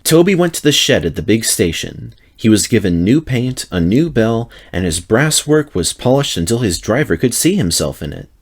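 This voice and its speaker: A man's voice: mid-range voice, big pitch swings, moderate pace, few pauses, slightly rough. A man reading a children's story aloud as narrator, in a scripted, dramatic storytelling style.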